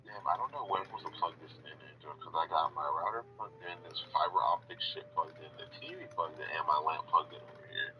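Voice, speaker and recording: A man chatting casually at home in a slangy, sweary, animated way. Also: sits low, fast, hardly any pauses, dull audio. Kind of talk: casual chat. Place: indoors at home. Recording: noisy home recording, clear background noise. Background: loud noise.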